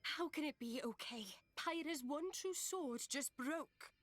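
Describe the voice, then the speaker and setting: A woman's voice: high voice, measured pace, no echo. A woman playing a feisty, prickly teenage girl companion in a video game. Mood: distressed. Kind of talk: video game cutscene acting.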